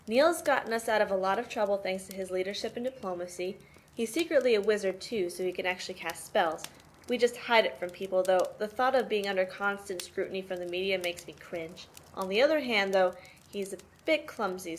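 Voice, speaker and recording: Woman playing tough motherly sister, medium pitch, matter-of-fact, amateur audio drama, slightly noisy recording. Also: lightly acted. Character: protective, tough sister mothering five troubled orphaned brothers. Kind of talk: amateur audio play, in-character interview.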